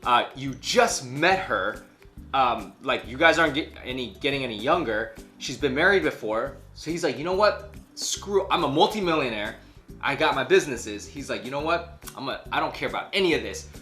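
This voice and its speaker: A man co-hosts a casual chat show with a bright, forceful voice. His pitch moves around a lot as he retells a story in slang and acts out what people said. Music plays faintly behind him. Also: normal speed. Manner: animated, slangy, acts out imagined quotes. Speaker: co-host of a news chat show. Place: small furnished room indoors, on a couch.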